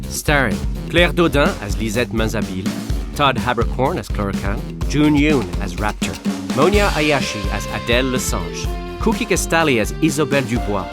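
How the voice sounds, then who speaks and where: A man with a medium-pitched voice reads scripted narration as an audio drama announcer. Prominent music plays behind him.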